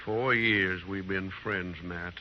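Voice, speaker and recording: A man's voice: deep voice, steady tone, slow pace. An American actor playing an anxious, earnest frontier tradesman in a radio western, plain-spoken and bitter. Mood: bitter, resigned. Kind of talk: old-time radio western acting. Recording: muffled, noisy old radio recording.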